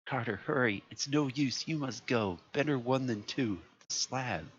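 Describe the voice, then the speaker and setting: A man voicing a terrified man trapped in a vault, pleading and shouting in panic. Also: mid-range voice, unhurried, voice pushed up, lightly acted. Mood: frantic. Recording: lo-fi with faint noise.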